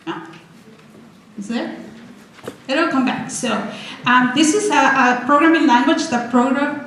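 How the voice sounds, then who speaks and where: Excited woman in an echoey hall. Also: sits high. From Venezuela. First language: Spanish. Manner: excited, chatty, many restarts. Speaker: guest speaker. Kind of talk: energetic public talk with slides. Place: college lecture room. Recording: echoey hall, faint noise.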